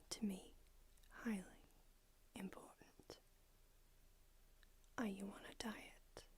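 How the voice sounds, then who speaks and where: A woman whispering in an ASMR roleplay as an ancient, aloof female vampire doctor, unhurried and drily haughty. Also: medium pitch, slightly rough, full character voice. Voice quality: whispered throughout. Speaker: ASMR creator.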